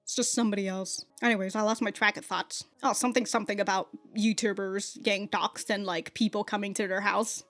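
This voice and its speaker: A woman's voice: mid-range voice, fairly even intonation, a little fast, hardly any pauses, a bit bright. A woman hosting a reaction video, commenting casually in slangy, sweary language.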